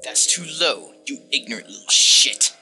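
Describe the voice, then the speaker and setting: A man voicing a sinister, contemptuous disembodied game narrator, delivered in a condescending, theatrical and deliberate way. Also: mid-range voice, expressive, sharp tone, soft background music. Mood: mocking contempt. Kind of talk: dramatic reading of a text-based game.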